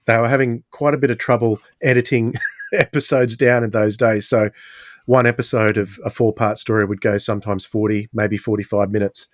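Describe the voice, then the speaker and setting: A man's voice: fairly low pitch, steady tone, moderate pace, no echo. A middle-aged man co-hosting a podcast, chatting casually and putting questions to others. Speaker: podcast co-host. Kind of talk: casual discussion and interviewing.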